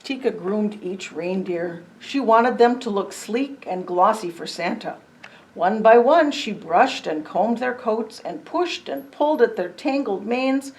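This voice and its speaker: A woman reads a picture book aloud for children. Her voice is medium in pitch, and she tells the story expressively, with a dramatic touch. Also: gravelly, a little mellow, poor audio. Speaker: storybook reader. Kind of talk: children's story read-aloud with chatty asides.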